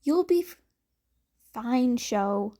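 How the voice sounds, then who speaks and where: A woman's voice: fairly high pitch, expressive, extremely slow, mellow. A woman voicing an affectionate, reassuring adult man in a story reading, with an easygoing manner.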